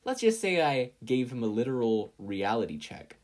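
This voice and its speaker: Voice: mid-range voice, very animated, moderate pace, a little mellow, close to an everyday voice. A narrator voicing a bold, sarcastic young woman with an emphatic, wry way of retorting.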